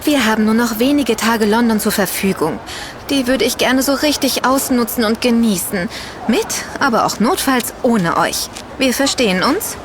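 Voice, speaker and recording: A woman's voice: fairly high pitch, moderate pace, noisy background, close to an everyday voice. An actor playing an impatient young woman who wants to enjoy her city trip. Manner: impatient, dismissive.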